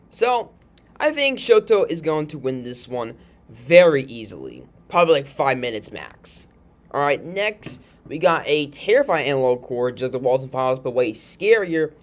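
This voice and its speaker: Casual, rambling video host with mid-range voice. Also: medium pitch. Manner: rambling, informal, frequently tags statements with a questioning check. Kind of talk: casual commentary on fictional character matchups.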